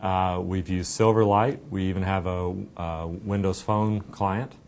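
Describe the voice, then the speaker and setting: A man's voice: steady tone, measured pace, a little mellow. A middle-aged man explaining a product conversationally during an interview and demonstration, recorded indoors on a lapel mic. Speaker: software company representative giving a demo. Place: indoor meeting room. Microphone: clipped lapel microphone. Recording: clear low rumbling room noise.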